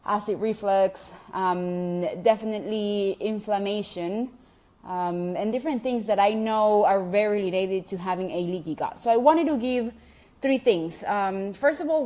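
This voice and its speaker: A woman's voice: mid-range voice, a little mellow. A woman from Venezuela with a Venezuelan Spanish accent, Spanish being her first language. She speaks as a gut health coach to her online group, chatty and encouraging, with many ums and restarts. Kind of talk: casual direct-to-camera talk to an online group.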